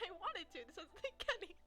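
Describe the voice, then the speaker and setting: High-pitched, giggly young woman streaming. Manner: giggly, excitable. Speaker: game livestreamer. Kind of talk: casual chat while streaming a game.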